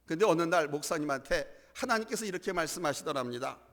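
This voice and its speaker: Male pastor, hoarse from a cold, bright high preaching voice that swings widely, church hall reverb. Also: animated, fast talker. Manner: emphatic preaching with swells in volume and calls to the congregation. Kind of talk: sermon.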